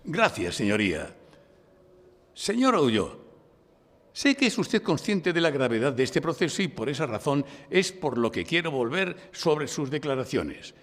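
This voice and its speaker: A man from Spain playing a shrewd, composed defense lawyer who narrates his own case deliberately in a live audio drama. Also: on the high side, very animated, heavily acted. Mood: probing, formal. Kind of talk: courtroom audio drama with hardboiled first-person narration. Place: recorded live before an audience in a library. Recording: live stage recording in reverberant hall.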